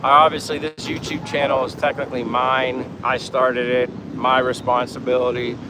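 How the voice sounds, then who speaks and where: A man's voice: mid-range voice, flat delivery, moderate pace. Chatty man, noisy outdoor livestream. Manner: chatty, meandering, informal. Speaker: livestream vlogger. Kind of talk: casual rambling livestream chat, reading viewer comments. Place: outdoors in the Philippines, in daytime. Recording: noisy outdoor ambience with wind, vehicles. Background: wind, vehicle and outdoor ambience noise.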